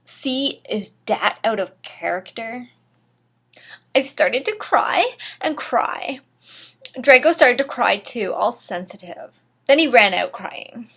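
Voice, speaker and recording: A woman's voice: on the high side, fairly bright tone. A woman giving a mock-dramatic story reading, theatrical in delivery, with sarcastic asides. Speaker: reader of a comedic dramatic reading.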